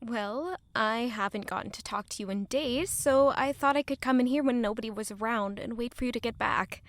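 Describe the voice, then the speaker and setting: A woman's voice: fairly high pitch, moderate pace, fairly bright tone. An actress playing a guilt-ridden, selfless young woman in love, speaking earnestly and emotionally in an intimate audio drama. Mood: sheepish.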